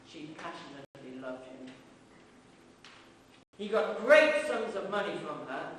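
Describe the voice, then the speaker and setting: A man playing a polite young Victorian London gentleman in a theatrical monologue, courteous, formal and measured in his storytelling. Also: high voice. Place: large echoing indoor festival venue. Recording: very echoey hall, distant mic, faint noise.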